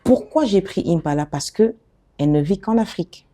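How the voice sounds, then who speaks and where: A middle-aged woman from the DR Congo, an interview guest who talks about her work in an animated, expressive way and often restarts her phrases. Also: lowish voice, normal speed. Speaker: guest, fashion designer and author. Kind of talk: conversational interview.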